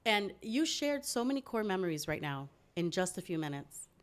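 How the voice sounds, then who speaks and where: A woman hosts a casual interview and keeps her guest going with quick yeses and sures. Her pitch sits in the ordinary range for a woman. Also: big pitch swings. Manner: encouraging, with short affirmations. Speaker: host.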